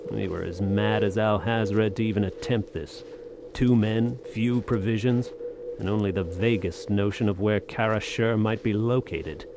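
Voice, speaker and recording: An actor playing a weary, hard-bitten soldier of fortune in an audio drama, speaking in folksy old-fashioned slang with grim resignation. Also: male voice, on the low side, unhurried, vocal fry, very noisy.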